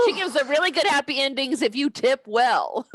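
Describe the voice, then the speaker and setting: A woman's voice: high-pitched, animated, measured pace. A woman playing a brash, nosy, giggly celebrity panelist in an improvised game show parody. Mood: giggly, teasing. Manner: giggly, exclamatory. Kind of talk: improvised comedy.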